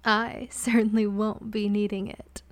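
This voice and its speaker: A woman voicing a cruel, seething evil queen who speaks slowly and menacingly. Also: mid-range voice, little pitch movement, no room sound, lightly acted. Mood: haughty. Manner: slow, menacing, snarling.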